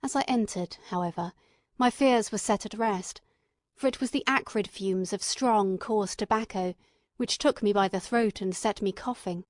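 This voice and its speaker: A woman reads classic fiction as an audiobook narrator. Her delivery is measured and literary, at a middle pitch. The recording is close and dry. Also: no echo.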